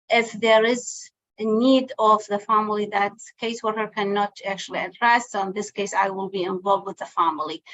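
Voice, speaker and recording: A woman whose first language is Arabic speaks on a discussion panel. Her English is halting, with frequent pauses and fillers as she looks for words. She sounds like she is in her forties. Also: mid-range voice, fairly bright tone, poor audio. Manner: halting non-native phrasing, many fillers. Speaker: panelist, family support worker.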